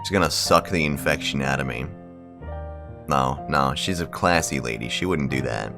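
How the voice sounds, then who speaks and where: A man's voice: a bass voice, moderate pace, creaky, over music. A man hosting a game video, reading a visual novel aloud and adding slangy, profane bro-style commentary. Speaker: gaming video host.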